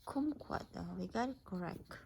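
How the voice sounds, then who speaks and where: A woman's voice: fairly high pitch, animated, moderate pace, creaky, noisy background. A woman reading an alphabet picture book aloud as a mother to a child, naming words one by one in an instructive way with casual asides.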